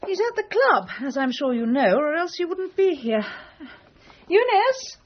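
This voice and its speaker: A woman's voice: very high-pitched, very animated, measured pace, slight background noise, full character voice. An actress playing a genteel, scatty, disapproving upper-class English mother in a period radio drama, middle-aged, airy and fussy. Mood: airy, bright. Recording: dull lo-fi radio copy.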